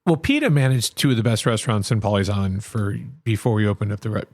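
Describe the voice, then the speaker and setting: Animated storytelling man, dry sound. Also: lowish voice, quick pace, no echo. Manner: animated storyteller, many restarts. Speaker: chef and restaurant owner. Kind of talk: casual interview chat.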